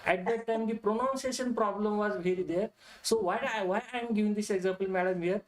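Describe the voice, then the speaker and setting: A man hosting an online lecture, speaking in a halting way with frequent pauses on a video call. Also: sits high, fairly even intonation, low-quality recording. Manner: halting, non-standard grammar, frequent "uh". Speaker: webinar host. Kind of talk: casual closing remarks.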